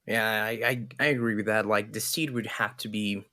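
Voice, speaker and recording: A man's voice: lowish voice, steady tone, normal speed, sharp tone, no echo, clean studio sound. A man from Ecuador with a Spanish accent, a native Spanish speaker, casting a livestream in a casual, improvised chatty style. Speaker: esports commentator.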